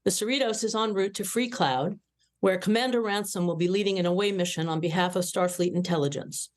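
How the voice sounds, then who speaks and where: A woman's voice: medium pitch, fairly even intonation, a little fast, close to an everyday voice. A woman playing a proud, formal starship captain dictating a log at a cartoon script table read.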